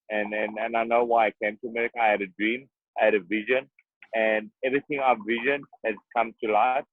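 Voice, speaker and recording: A boxer, talking earnestly and emphatically in an interview, often repeating himself. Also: male voice, medium pitch, no echo. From South Africa. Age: 30s. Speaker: boxer guest. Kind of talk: interview conversation. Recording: tinny phone-like dry sound.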